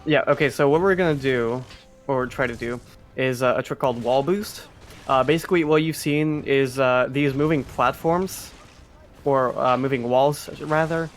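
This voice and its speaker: A man's voice: mid-range voice, normal speed. A man giving explanatory live commentary on a speedrun. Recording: faint background noise, live event stream.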